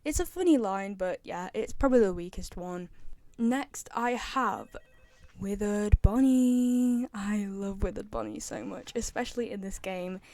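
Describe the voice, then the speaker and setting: A woman's voice: mid-range voice, lively intonation, a little slow, a little mellow, no room sound. A woman chatting casually and giving opinions as a fan commentator, rambling and repeating herself for emphasis. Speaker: fan commentator ranking game voice lines.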